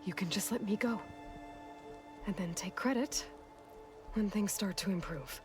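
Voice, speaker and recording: A woman's voice: medium pitch, a little slow, lightly acted. An actress playing a resolute young female hunter in a video game, firm and level, over game music. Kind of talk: video game cutscene acting.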